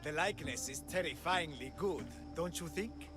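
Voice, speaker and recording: An actor playing a grandiose, deluded self-proclaimed god in a video game, with a pompous, theatrical, declamatory delivery. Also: male voice, a little high, normal speed, natural voice. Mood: self-important. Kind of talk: video game cutscene acting. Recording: music playing behind the voice.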